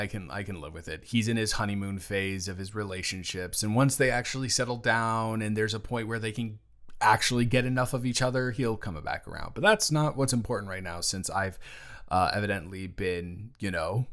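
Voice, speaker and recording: Man acting a halting, caring young guy. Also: on the low side, a bit bright, close to an everyday voice. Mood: awkward, concerned. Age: young adult. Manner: halting, rambling, conversational. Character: caring, awkward 21-year-old man, younger brother's best friend. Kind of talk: intimate audio roleplay acting, casual naturalistic speech.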